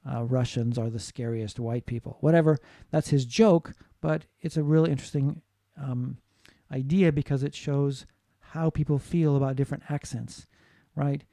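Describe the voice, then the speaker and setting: A man's voice: mid-range voice, fairly even intonation, moderate pace, few pauses, warm tone, no room sound, studio quality. A man from the United States giving a classroom lecture on phonetics, explaining steadily and often asking "right?" to check in with students. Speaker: English teacher.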